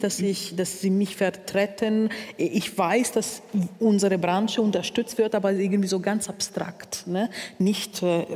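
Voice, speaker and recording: A woman with a low, mellow voice speaks as a panel guest. She searches for words and restarts her sentences as she goes. Her voice carries a long reverb, as if recorded in a large hall. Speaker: opera singer, panel guest. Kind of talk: panel discussion.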